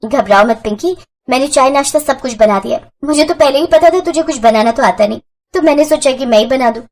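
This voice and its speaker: A woman's voice: sits high, brisk, a little mellow. A woman voicing a mild but reproachful young sister in a family moral story. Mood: reassuring. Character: mild young twin sister, gently scolding, slightly resentful. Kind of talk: Hindi moral story voice acting.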